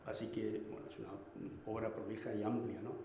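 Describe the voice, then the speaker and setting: A man from Madrid giving a public lecture, measured and hesitant, with fillers. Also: lowish voice, level tone, dark tone. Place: municipal hall. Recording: echoey hall, lo-fi.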